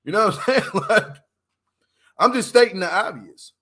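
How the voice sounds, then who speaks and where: High-pitched, bright-voiced man commenting casually. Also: lively intonation, normal speed. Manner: emphatic, repetitive, slangy. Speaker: livestream host. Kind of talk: casual opinionated livestream commentary.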